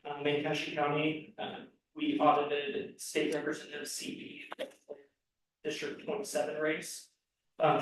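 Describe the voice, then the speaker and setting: A man reads out audit figures county by county, stumbling with frequent ums and restarts. His voice sits a touch high and stays flat. It comes from far off the mic in a very echoey room. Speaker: state election audit official presenting results. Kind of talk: reading audit results at a public meeting.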